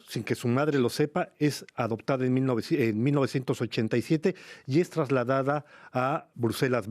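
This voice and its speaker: A man hosting a radio interview, speaking in a measured, formal way and leading with long framing questions. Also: medium pitch, darkish tone. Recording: radio broadcast studio.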